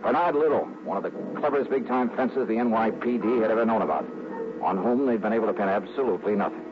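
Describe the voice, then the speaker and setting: An actor playing a wry, world-weary private investigator, narrating in clipped, confiding 1960s hardboiled radio drama style. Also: male voice, on the low side, quick pace, background noise, natural voice. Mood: intent, confiding. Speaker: lead actor and narrator of a detective series. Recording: muffled old radio broadcast with music.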